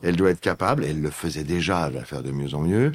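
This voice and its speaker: A man answering as an interview guest, explaining in a measured way. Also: a bass voice, animated, breathy edge. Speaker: business guest. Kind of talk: conversational interview.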